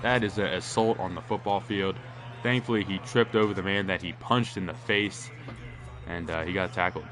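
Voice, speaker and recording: A man with a lowish, stuffed-up, nasal voice calls a football video game in a loose, rambling way. His voice jumps up on the big plays. There's clear noise underneath the recording. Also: fairly even intonation, normal speed. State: congested, nasal. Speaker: gaming commentator.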